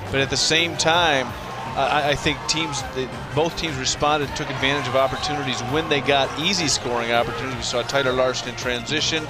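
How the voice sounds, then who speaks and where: A man's voice: mid-range voice, steady tone, brisk, loud music behind the voice, over a noisy crowd. A man in his thirties, a color analyst breaking down a basketball game at a courtside arena desk, talking conversationally into a headset mic and often repeating words as he starts phrases. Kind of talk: postgame analysis commentary.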